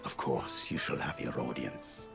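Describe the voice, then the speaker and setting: Courtly manservant, low and gravelly. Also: male voice, a little slow, music in the background, full character voice. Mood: courteous, obliging. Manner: courtly, formal, obliging, eloquent. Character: deferential, patient manservant to a fussy lord. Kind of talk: video game cutscene acting.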